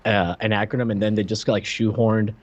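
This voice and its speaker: A man's voice: fairly low pitch, little pitch movement, brisk. A man co-hosting a podcast, chatting casually with wry, bemused commentary on a slightly lo-fi recording. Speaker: podcast co-host.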